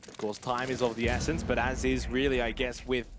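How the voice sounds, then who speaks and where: A man's voice: medium pitch, level tone, fairly quick, background noise. A man giving live play-by-play commentary as an esports caster.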